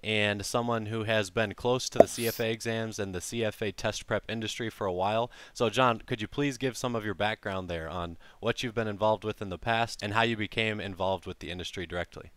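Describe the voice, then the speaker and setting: Low smooth-voiced male interviewer. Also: fairly even intonation, no echo. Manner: measured, long framing questions. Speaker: host, interviewer. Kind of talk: interview questions.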